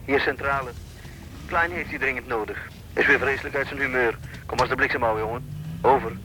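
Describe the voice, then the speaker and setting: A man acting in a radio play as a brisk police dispatcher using clipped radio procedure. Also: mid-range voice, pushed and bright, slightly rough, poor audio. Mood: urgent.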